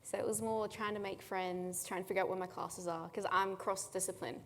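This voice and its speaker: A woman's voice: medium pitch, steady tone, fairly quick, fairly bright tone. Woman student, casual and chatty, long reverb. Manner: chatty, lots of "like". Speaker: student panelist. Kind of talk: casual panel talk.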